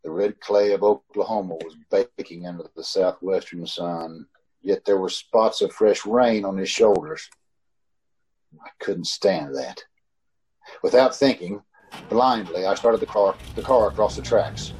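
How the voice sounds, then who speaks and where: A man's voice: low voice, unhurried, a little mellow, rough recording. A man playing a haunted, uneasy motorist in an old-time radio suspense drama, recorded over a video call. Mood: grim, tense. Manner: brooding in narration, hesitant and stammering in dialogue. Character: haunted, uneasy male motorist narrating his ordeal. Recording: video call with clear background noise.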